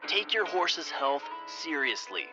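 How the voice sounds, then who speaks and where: A man playing an earnest small-town horse therapist pitching his clinic in a parody radio commercial. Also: big pitch swings, slow pace. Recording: slightly dull, loud guitar music behind.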